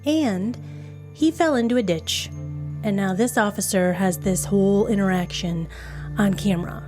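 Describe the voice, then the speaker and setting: A woman's voice: medium pitch, moderate pace. A woman hosting a true crime podcast, telling the story in a conversational, informal way and recapping key points. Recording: background music under voice. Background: music.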